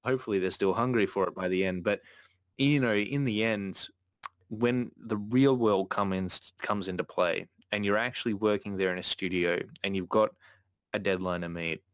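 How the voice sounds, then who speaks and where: A man's voice: on the low side, normal speed, clear, resonant tone, no echo. A man hosting a casual podcast, talking earnestly with frequent self-corrections. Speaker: podcast host.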